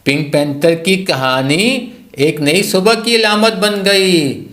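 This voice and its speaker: A man narrates a children's story from a script. His voice sits a little high, the pace is very unhurried, and the sound is noticeably echoey. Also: expressive, fairly bright tone.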